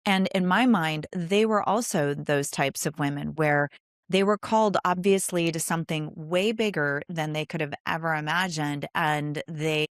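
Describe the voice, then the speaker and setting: A woman's voice: low voice, moderate pace, no room sound, clean studio sound. A woman hosting a conversational interview, affirming and earnest, speaking in long, winding sentences. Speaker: interview host. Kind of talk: conversational faith-based interview.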